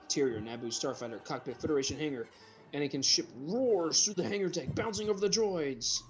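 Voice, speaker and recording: A man's voice: fairly high pitch, a little fast, soft background music. A man reading screenplay directions aloud as the narrator of a group script reading.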